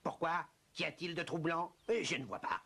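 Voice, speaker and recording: An actor voicing a languid, curt caterpillar in a French animated film, drawling offhand with hums and pauses. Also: medium pitch, creaky. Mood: aloof, questioning.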